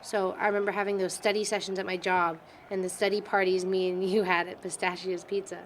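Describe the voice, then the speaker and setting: Young female student, played by an actress, talkative, informal, teasing, medium pitch, indie film dialogue, slightly noisy recording. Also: level tone, a little fast, close to an everyday voice. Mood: candid, unsure. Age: young adult. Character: Sylvia, chatty young college student teasing an old friend. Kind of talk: naturalistic amateur film dialogue.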